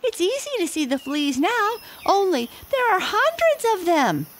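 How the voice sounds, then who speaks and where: A woman voicing a group of little monkeys in a very high, squeaky, hurried voice, with faint insect noise behind. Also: sing-song, exaggerated voice. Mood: amazed. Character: six little chattering monkeys, eager and busy.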